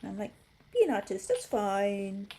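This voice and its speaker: A woman's voice: mid-range voice, big pitch swings, normal speed, mellow, slight background noise. A middle-aged woman from Singapore hosting a puzzle livestream, chatting casually and answering comments from viewers.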